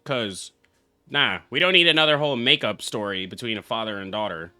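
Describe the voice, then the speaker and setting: A man's voice: on the low side, a little fast, pushed and bright, clean tone, no echo. A young man, a game streamer, giving casual live reaction commentary in a brash, exclamatory, heavily profane way. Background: game music.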